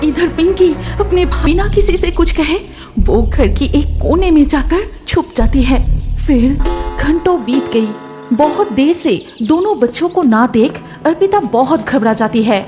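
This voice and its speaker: High female voice, scripted story narration, storyteller, music behind. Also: animated, unhurried.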